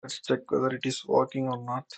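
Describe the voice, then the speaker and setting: Step-by-step software tutor thinking aloud. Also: mid-range voice, completely flat delivery, a little fast, gravelly, husky. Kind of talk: unscripted screen-recorded software tutorial.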